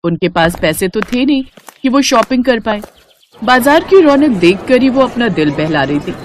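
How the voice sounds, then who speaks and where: A woman's voice: on the high side, sing-song, normal speed, a little hiss or hum. A woman narrating a scripted moral story for a cartoon.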